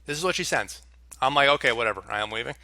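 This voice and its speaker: A man's voice: medium pitch, lively intonation, rapid-fire, sharp tone, no room sound. A young man telling a story to camera in a casual, exasperated rant with plenty of swearing. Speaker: vlogger.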